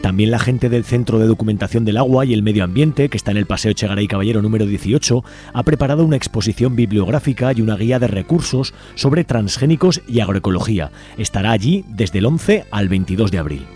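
A man's voice: lowish voice, lively intonation, fast talker, music in the background. A man with an accent from Spain hosting community radio, giving informal announcements and addressing listeners directly in a conversational, inviting way. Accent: Spanish from Spain.

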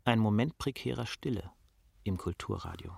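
A man's voice: on the low side, normal speed, vocal fry, a little mellow, husky, no room sound, clean studio sound, full character voice. A man playing a sober, detached narrator, reading scripted present-tense radio drama narration.

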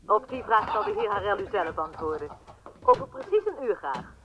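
An actress playing a formal, curt secretary in a radio drama. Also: female voice, medium pitch, wildly swooping pitch, normal speed, lo-fi sound, music in the background. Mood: formal, firm. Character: formal, unyielding secretary to a spy chief.

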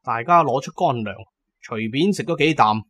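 A man's voice: mid-range voice, expressive, normal speed, a bit bright. A man narrating a first-person adventure story as a dramatic audiobook storyteller.

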